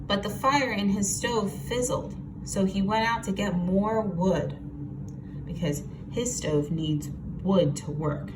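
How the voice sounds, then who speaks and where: A woman reading a picture book aloud to children in an expressive, teacherly way, with asides and questions. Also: mid-range voice, slow. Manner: expressive, explains words and asks listeners questions. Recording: noisy, distant microphone.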